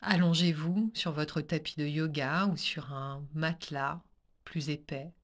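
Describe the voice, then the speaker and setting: Female yoga nidra guide, low register, very unhurried delivery, calm and soothing. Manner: calm, soothing, measured instructions with pauses. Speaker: yoga nidra teacher guiding a practice. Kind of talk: guided relaxation meditation.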